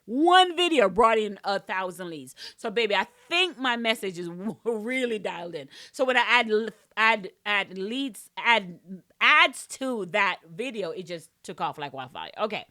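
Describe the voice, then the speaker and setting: A woman's voice: medium pitch, pushed and bright, no room sound, studio quality. A woman with a strong Jamaican accent, a podcast host teaching business mindset in a casual, emphatic way, often repeating and restarting phrases.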